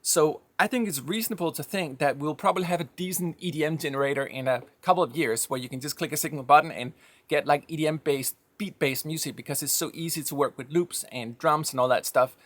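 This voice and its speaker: Man with danish accent, reflective talk, slightly high voice, dry close sound. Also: brisk, fairly bright tone, dry recording. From Denmark. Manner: reflective, conversational, mildly opinionated. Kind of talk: personal monologue.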